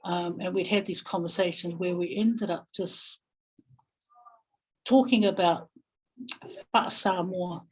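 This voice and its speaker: A woman with a New Zealand accent speaking reflectively in a webinar, pausing thoughtfully and searching for her words. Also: lowish voice, breathy edge, poor audio. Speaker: guest webinar speaker, family violence practitioner.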